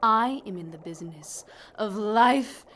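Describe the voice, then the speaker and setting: A woman acts out an older female mad scientist in a radio play, irritable and cryptic. She speaks slowly and portentously in a bright, forceful voice, with faint noise in the recording. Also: mid-range voice, expressive. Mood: irritated, grand. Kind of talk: audio drama acting.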